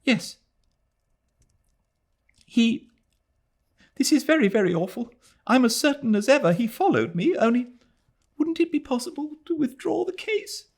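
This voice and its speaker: Earnest young Englishwoman acted by a man for a storybook reading; very high, swooping, hesitant, apologetic. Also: big pitch swings, a little slow, pauses often, heavily acted. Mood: anxious, apologetic.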